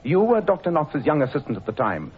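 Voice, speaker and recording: An actor playing a calm, clever male detective in an old radio play, speaking in a cool, dry, ironic way. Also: on the high side, brisk, husky. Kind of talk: 1940s radio acting. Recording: tinny phone-like old radio sound.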